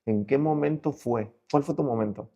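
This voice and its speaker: A man's voice: medium pitch, normal speed, gravelly, warm tone. A man hosting a casual conversational interview, reflective as he rephrases and repeats his questions. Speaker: interview host.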